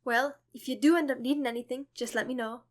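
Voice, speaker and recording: A woman's voice: high-pitched, fairly even intonation, fast, natural voice. A woman playing a friendly, polite tavern serving woman, eager to help, in an audio drama. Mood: obliging. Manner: polite, helpful.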